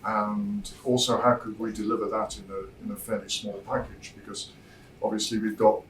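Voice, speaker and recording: A man giving an informal product presentation as a designer, explaining in a measured way and pausing mid-thought. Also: on the low side, flat delivery, fairly bright tone. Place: demo room at a hi-fi show, indoors. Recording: roomy, distant mic, noisy show room.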